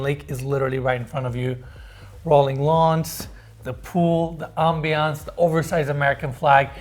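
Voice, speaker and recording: A man from Turkey with a Turkish accent guides viewers through a large house. His pitch sits in the middle, and his delivery is eager and showcasing. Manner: enthusiastic, showcasing. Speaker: host. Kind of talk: home tour presentation.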